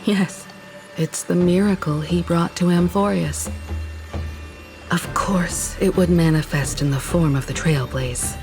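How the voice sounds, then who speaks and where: Faithful game companion: assured, lower-middle pitch, music underneath. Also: female voice, unhurried.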